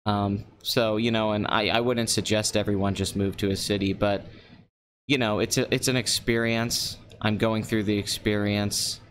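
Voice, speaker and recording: A young man in his late twenties talks into a desk microphone with a slightly low voice. His delivery is flat and deadpan, kept low and calm. A faint hiss of background noise sits under the recording. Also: moderate pace. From Pennsylvania. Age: 20s. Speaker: live-stream host. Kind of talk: casual solo live-stream talk. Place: nearly empty apartment.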